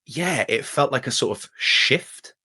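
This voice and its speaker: A man's voice: medium pitch, unhurried, breathy edge. A young Welsh man with a Welsh accent, a voice actor chatting as an interview guest and acting out quoted exchanges. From Wales. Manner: animated, acts out quoted exchanges with a shifting voice. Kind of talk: casual interview chat.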